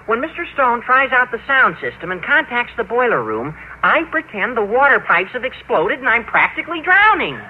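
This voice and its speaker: Excitable teenage schoolboy, tinny old radio. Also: male voice, very high-pitched, moderate pace, hardly any pauses, slight background noise. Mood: eager, worried. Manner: eager, animated. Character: excitable, eager teenage schoolboy schemer with girlfriend. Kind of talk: old-time radio sitcom acting.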